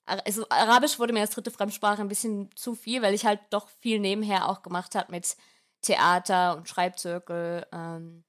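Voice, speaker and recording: A woman's voice: mid-range voice, level tone, normal speed. A woman in her twenties chatting casually as a student podcast guest, with a giggly way of talking. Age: 20s. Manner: giggly, often laughing while talking. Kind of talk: casual chat.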